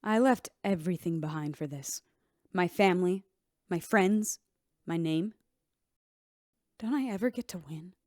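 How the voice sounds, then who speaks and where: An actress playing a guilt-ridden, defensive bartender in a radio drama, with earnest, emotional delivery. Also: female voice, fairly low pitch, a little slow, pauses often, a little mellow, no echo, clean studio sound, natural voice. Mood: bitter.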